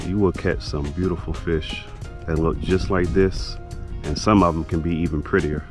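A man giving casual, laid-back, folksy commentary to viewers as a fishing vlogger, outdoors on a boat in a marsh. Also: low voice.

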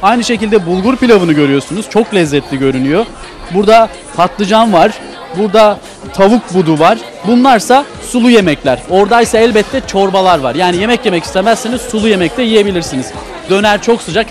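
A man's voice: high-pitched, expressive, fairly quick. A young man reporting on location in a restaurant, presenting with enthusiasm into a handheld mic. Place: busy restaurant. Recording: noisy restaurant with music. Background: music and crowd chatter.

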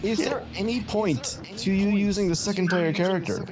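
A man's voice: high-pitched, normal speed. A man bantering casually as a speedrun co-commentator, asking questions in a joking, inquisitive way. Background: video game music.

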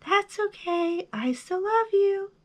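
A woman voicing a distant, echo-like moon in a picture-book story, gently parroting what it hears. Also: very high-pitched, expressive, slow pace, voice pushed up, heavily acted. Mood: gentle echo. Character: distant echoing moon, gently mimicking a bear.

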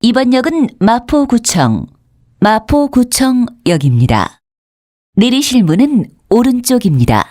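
High-pitched melodic female subway announcer. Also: big pitch swings, measured pace, warm tone, no echo, studio quality. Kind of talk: scripted station announcement.

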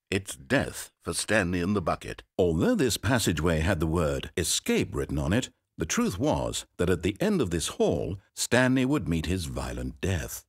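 A man's voice: low voice, slightly rough, no room sound, heavily acted. A man voicing a wry, sardonic male narrator in a video game, telling the story in a theatrical, dry storybook manner. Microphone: close studio mic.

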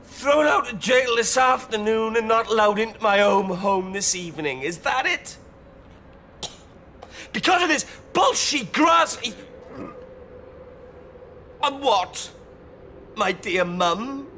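A man's voice: sits high, animated, takes it slowly, long pauses, sharp tone, a little hiss or hum, heavily acted. A young man acting a rebellious British teenage boy just out of jail in a radio drama. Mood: angry, sarcastic.

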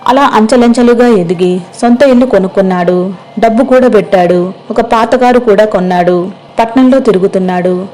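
A woman narrates a scripted moral story. Her voice is dark and soft-edged, at a medium pitch for a woman. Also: low-quality recording.

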